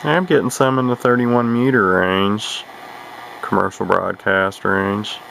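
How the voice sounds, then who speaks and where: A man with a very low, gravelly voice talks casually as he demonstrates equipment. He hesitates and qualifies himself as he thinks aloud. The camera recording has clear background noise. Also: steady tone, a little slow, fairly bright tone. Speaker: radio hobbyist demonstrating a receiver. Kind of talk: casual unscripted demonstration chat. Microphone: camera microphone. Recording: noisy camera recording beside shortwave radio.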